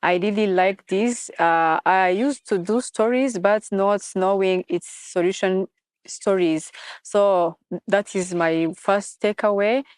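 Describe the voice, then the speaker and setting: A woman's voice: mid-range voice, takes it slowly, no echo. Young Rwandan woman, freelance journalist, plain hesitant testimonial, dry recording. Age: young adult. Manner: simple phrasing, hesitant. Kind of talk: testimonial interview.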